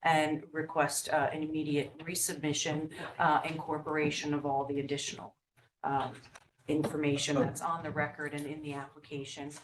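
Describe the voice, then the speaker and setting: Low-voiced planning staffer lady, patient, far off mic in an echoey hall w people. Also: monotone, moderate pace, background noise. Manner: helpful, explains procedure patiently. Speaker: town planning staff member. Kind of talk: procedural guidance at a public meeting. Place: town meeting room with people around.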